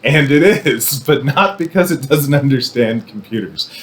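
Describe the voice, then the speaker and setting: A man's voice: mid-range voice, unhurried. Rambling, wry man over noticeable background noise. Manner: rambling, wry, animated asides. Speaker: film review podcast host. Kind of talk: casual movie commentary monologue.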